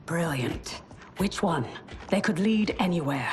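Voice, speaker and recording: A woman playing a sorrowful, resolute warrior in a video game cutscene, speaking in measured, formal phrases. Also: lowish voice, animated. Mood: dry, tense.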